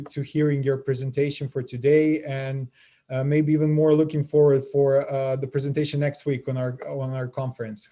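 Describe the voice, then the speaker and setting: Male webinar host, Serbian accent, formal and scripted, mellow tone. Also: a little high. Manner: formal, scripted introduction, with some hesitations. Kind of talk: webinar introduction.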